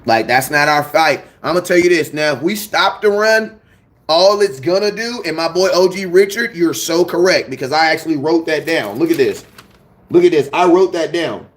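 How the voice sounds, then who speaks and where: A young man hosting a casual fan show, talking in slang and repeating himself when excited. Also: fairly high pitch, normal speed. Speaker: sports fan show host. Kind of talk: casual live-stream chat.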